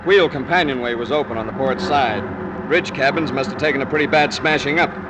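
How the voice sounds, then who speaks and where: A plainspoken ship's steward officer , acted by a man, talks in a casual, folksy way. The voice sits at a middle pitch, with strong noise behind it, as in an old radio sea drama. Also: a little fast, lightly acted. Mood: concerned. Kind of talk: vintage radio drama acting. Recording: vintage radio, loud sea effects noise.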